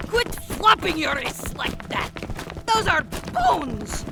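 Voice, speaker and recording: A woman's voice: sits high, sing-song, slow, heavily acted. A woman in a fandub playing a bossy gym coach who barks sarcastic, military-style orders at runners. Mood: barking, impatient. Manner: barked military commands, sarcastic scolding. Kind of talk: fandub voice acting.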